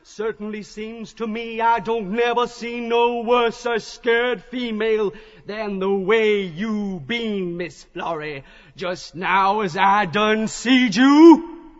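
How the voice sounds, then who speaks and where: A man's voice: high-pitched, slow, pitched above the performer's own voice. A man voicing a fervent evangelist prophet who hawks salvation in folksy, hectoring showman's patter, in an audiobook drama. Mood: pleading fervour. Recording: muffled audio in a long echoing hall.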